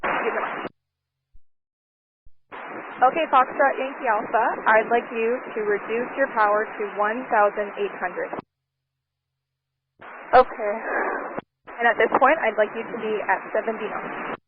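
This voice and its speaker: A woman's voice: a little high, measured pace, long pauses. Woman on noisy, tinny aviation radio. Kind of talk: live aviation radio exchanges.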